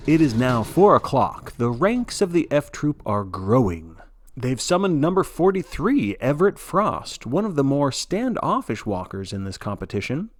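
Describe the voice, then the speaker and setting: A man's voice: mid-range voice, very animated, moderate pace, dry recording. A man narrating a story as a sports broadcaster, in a theatrical play-by-play style with wry, folksy asides.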